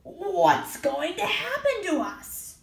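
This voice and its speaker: A woman's voice: high-pitched, expressive, extremely slow, vocal fry, far from the mic, pitched above the performer's own voice, heavily acted. A woman reading a storybook aloud and voicing a timid, puzzled rug monster with a wondering, questioning tone. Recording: roomy echo.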